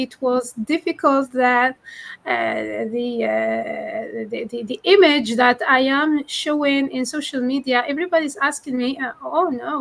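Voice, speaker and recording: A woman from Algeria speaking as a panel guest, sharing a personal account haltingly and searching for words. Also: a little high, gravelly, a bit bright.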